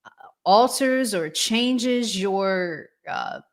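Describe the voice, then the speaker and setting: Slow-paced woman hosting a casual discussion. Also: mid-range voice, expressive. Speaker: talk show host.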